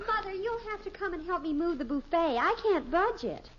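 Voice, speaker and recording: An actress playing an indignant, sarcastic teenage daughter in a 1950s radio sitcom, always complaining. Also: female voice, very high-pitched, animated, a little fast. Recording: muffled, faintly noisy vintage radio recording.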